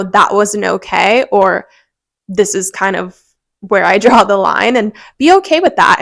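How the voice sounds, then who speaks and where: Gravelly-voiced woman giving upbeat encouragement. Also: mid-range voice, no echo. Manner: earnest, encouraging, speaks directly to listeners. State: a little congested. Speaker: podcast host. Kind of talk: motivational podcast talk.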